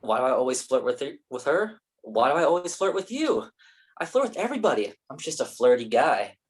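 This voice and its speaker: A man's voice: mid-range voice, very animated, fairly quick, husky. A man playing a theatrical, flirty, self-pitying actor in his mid-thirties in a staged play reading. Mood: flirty, teasing. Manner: whiny, theatrical, pretentious.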